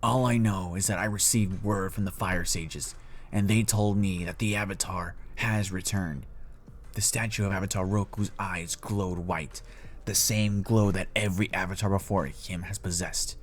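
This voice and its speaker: A man voicing a cold, scheming middle-aged tyrant king in a fan story, speaking slowly and gravely in a hushed, breathy murmur. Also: low voice. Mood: grave, commanding. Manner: slow, grave, menacing, deliberate pauses.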